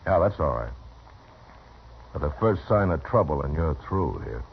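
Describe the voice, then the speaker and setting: An American actor playing a weary, stern frontier marshal in a radio western, speaking in a terse, deliberate way. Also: male voice, extremely low pitch, lively intonation, vocal fry, slight background noise, exaggerated voice. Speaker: narrator and lead actor. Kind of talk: 1950s radio western acting. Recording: dull old radio recording with music.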